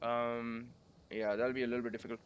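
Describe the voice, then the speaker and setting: A man's voice: mid-range voice, little pitch movement, a little fast, smooth. A young man with a sore throat from a cold, chatting casually and rambling while coding on a livestream. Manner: rambling, chatty, many fillers.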